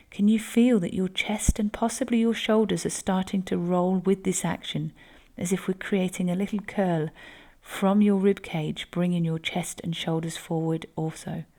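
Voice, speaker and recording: A woman instructor leading a guided breathing exercise, speaking gently and unhurriedly. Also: medium pitch, gravelly.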